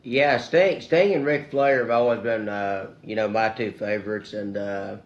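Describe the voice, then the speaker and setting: A man's voice: on the low side, steady tone, a little slow, muffled recording. A man in his thirties interviewing a guest, hesitant and losing his train of thought between questions. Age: 30s.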